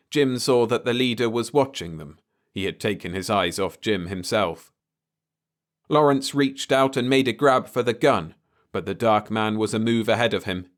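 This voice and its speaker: Smooth, clear male audiobook narrator. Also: on the low side. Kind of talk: scripted story narration.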